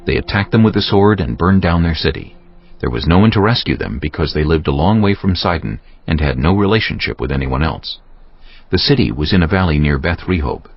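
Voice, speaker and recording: Deep, gravelly male scripture narrator. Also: animated, a little fast, no room sound. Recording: muffled audio with prominent music underneath. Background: music.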